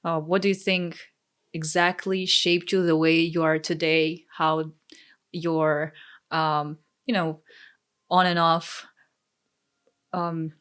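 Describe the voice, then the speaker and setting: A woman hosting a casual interview, speaking in a hesitant, halting way as she searches for words. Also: deep voice, level tone, fairly bright tone, clear, resonant tone. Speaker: podcast interviewer.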